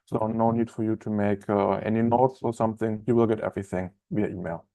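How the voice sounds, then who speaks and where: A man in his thirties with a German accent, chatting as a trainer in a live online software webinar, with many fillers and restarts. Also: on the low side, steady tone, a little fast, creaky, no room sound. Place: office room, indoors.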